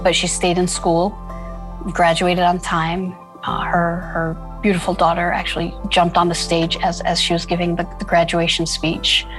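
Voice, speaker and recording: Woman school founder recounting stories, low flat delivery, thoughtful pauses. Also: music in the background, low-quality recording. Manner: earnest, reflective, with frequent fillers. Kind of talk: interview storytelling.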